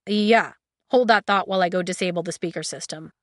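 A woman voicing a sardonic young man with a deadpan, sarcastic delivery. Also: fairly low pitch, a little fast, dry recording, clean studio sound, lightly acted.

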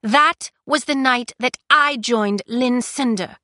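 A woman reading aloud, voicing a hoarse but defiant young woman prisoner. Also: fairly high pitch, slow pace, bright, forceful tone, pitched above the performer's own voice. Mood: defiant, raised.

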